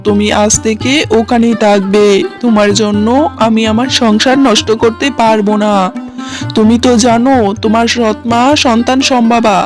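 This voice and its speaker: A woman's voice: fairly high pitch, level tone, moderate pace, close to an everyday voice. A woman voicing a weak-willed father who dismisses his daughter and placates his mother-in-law in a cartoon. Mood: dismissive. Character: weak-willed father, grown man, siding with new wife. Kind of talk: cartoon voice acting. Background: music.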